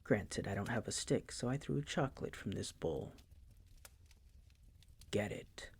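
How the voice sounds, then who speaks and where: A man playing a possessive, coolly menacing vampire master in an intimate audio roleplay, teasing and condescending in a breathy, gravelly whisper. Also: lowish voice, expressive, exaggerated voice. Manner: slow, condescending, teasing, coaxing.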